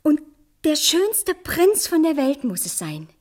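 Spoilt young queen, haughty, theatrical, high voice, very animated intonation, radio play. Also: takes it slowly, heavily acted. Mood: confiding, dreamy. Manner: haughty, imperious, dramatic. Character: vain, bossy, dreamy young fairy-tale queen.

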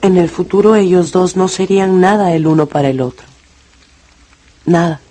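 A man's voice: high voice, normal speed, warm tone. A man narrating a radio novel in a scripted, dramatic storytelling style.

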